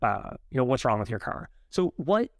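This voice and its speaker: A man's voice: medium pitch, very animated, normal speed, creaky, no room sound, clean studio sound. A man hosting an interview podcast, asking questions conversationally with frequent fillers. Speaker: podcast host.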